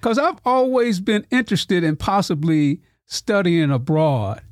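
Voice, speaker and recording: A man's voice: high-pitched, animated, measured pace, no room sound. Enthusiastic male podcast host, dry sound. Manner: enthusiastic, formal introductions. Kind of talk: scripted podcast interview.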